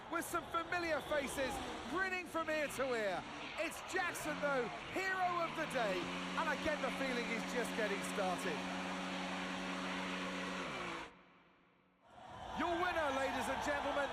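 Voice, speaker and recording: An actor playing an excitable motor racing commentator in a game, calling the action in a dramatic, projected, emphatic voice. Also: male voice, very high-pitched. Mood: triumphant. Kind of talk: sports commentary. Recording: loud vehicle and trackside noise.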